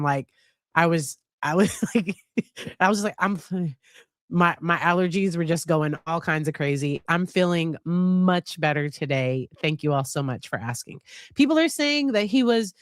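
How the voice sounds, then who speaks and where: A woman's voice: lowish voice, no room sound, studio quality. A middle-aged woman psychologist hosting a live stream, chatting casually with a slightly nasal voice from a cold, often restarting and hedging. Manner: chatty, hesitant, many restarts and laughs. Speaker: psychologist and livestream host.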